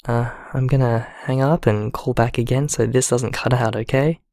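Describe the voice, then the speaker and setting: An actor playing a vulnerable, lonely man who leaves a halting, confiding, apologetic voicemail in a naturalistic audio drama. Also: medium pitch, fairly even intonation, a little mellow, dry recording, clean studio sound, lightly acted. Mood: apologetic, grateful.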